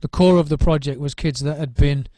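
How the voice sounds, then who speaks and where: A middle-aged man talks over his work as a drum trainer in a thoughtful interview, his voice sitting a little high. He circles back and repeats words while he finds his thought. The sound is close and dry, with no room around it. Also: a little fast, warm tone, no room sound. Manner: searching for words, many restarts and repeated words. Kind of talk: reflective interview answers.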